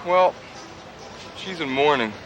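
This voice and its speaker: A man's voice: on the high side, animated, slow, over music, low-quality recording. A chirpy folksy car salesman. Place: car lot outdoors. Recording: outdoor street noise.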